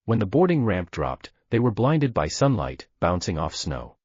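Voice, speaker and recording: A man's voice: lowish voice, sing-song, moderate pace, a little mellow, husky, no room sound. A man giving grave, dramatic narration of a science fiction story. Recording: dull dry lo-fi recording.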